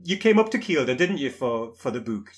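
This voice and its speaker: A man's voice: medium pitch, very animated, brisk. A man from northern England with a northern English accent, hosting a podcast in a friendly, playful way. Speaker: podcast host. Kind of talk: casual chat.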